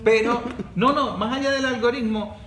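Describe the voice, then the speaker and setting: A man with a high-pitched voice speaks in a lively, animated way in a podcast discussion and jumps in with quick asides. The room is echoey and has a faint hiss under the voice.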